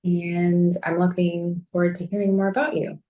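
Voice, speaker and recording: A woman with a lowish voice introduces herself in a friendly, measured way. Her delivery is unhurried. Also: flat delivery, warm tone. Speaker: guest, painter. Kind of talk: self-introduction.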